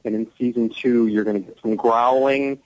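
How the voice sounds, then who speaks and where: Middle-aged man, American accent, mid-pitched, effusive storytelling, dramatic emphasis, radio guest, dry sound. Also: fairly even intonation, normal speed, darkish tone, no room sound, rough recording. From Chicago area, United States. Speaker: actor, radio show guest. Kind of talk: radio interview, anecdotal storytelling.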